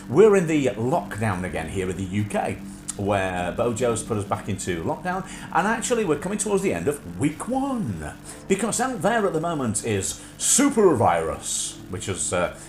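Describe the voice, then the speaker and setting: Livestream host: man, middle-aged, nasal from sinusitis, swooping intonation, rambling delivery, echoey room. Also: on the low side. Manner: rambling with frequent filler hesitations. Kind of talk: casual livestream chat.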